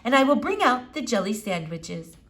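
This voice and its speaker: A woman's voice: a little high, very animated, moderate pace, a bit bright. A woman voicing a bossy, eccentric woman doctor in a children's storybook, with formal, stilted, commanding phrasing. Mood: brisk, insistent. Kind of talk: children's novel read-aloud character voice.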